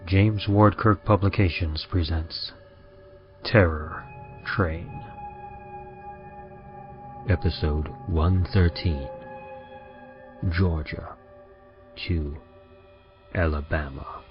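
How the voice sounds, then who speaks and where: A man's voice: sits low, steady tone, drawn-out words, long pauses. A man playing an ominous train conductor and announcer in old-time radio horror style, solemn and theatrical. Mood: grave. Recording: muffled sound with music.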